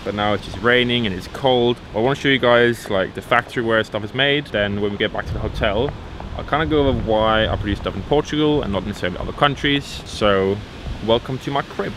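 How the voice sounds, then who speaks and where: Low-pitched young man, casual vlogger. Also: a little fast, background noise. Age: 20s. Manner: informal, sweary. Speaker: vlogger and clothing brand owner. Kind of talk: casual vlog talk to camera.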